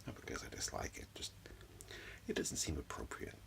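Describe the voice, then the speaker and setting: A man doing soft-spoken ASMR as an energy healer, murmuring soothingly and repetitively in a breathy, gravelly voice, close up in a small room at home. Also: huge pitch swings, moderate pace. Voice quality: whispery, breathy, low and gravelly. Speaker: ASMR performer giving a reiki session.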